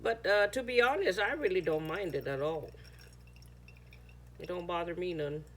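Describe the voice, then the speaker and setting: A woman's voice: lowish voice, moderate pace, slight background noise. A woman whose first language is Chamorro, chatting casually through a home how-to video, sometimes searching for words. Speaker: home cooking vlogger.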